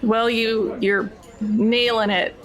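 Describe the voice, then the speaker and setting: A woman's voice: fairly high pitch, level tone, slow pace. A woman chatting informally and a little hesitantly as a player in a tabletop role-playing game. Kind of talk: casual game chat.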